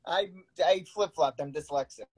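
A man's voice: a little high, moderate pace, darkish tone, husky. A young man in his twenties making teasing wisecracks on a call. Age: 20s.

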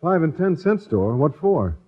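An eager, naive man from a vintage radio comedy. His slightly high, husky voice rises and falls animatedly as he explains in earnest. The recording is old and dull. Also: sing-song, measured pace, full character voice. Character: earnest, naive male suitor with schemes. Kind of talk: 1950s radio sitcom acting.

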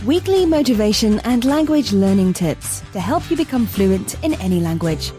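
A woman's voice: medium pitch, big pitch swings, moderate pace, warm tone. A woman reading a polished, scripted podcast introduction. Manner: polished, announcing. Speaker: show announcer. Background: faint music.

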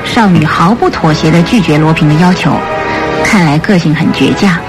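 A woman narrating a radio drama from a script in an expressive storytelling manner. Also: low voice, normal speed. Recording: studio radio play with music bed.

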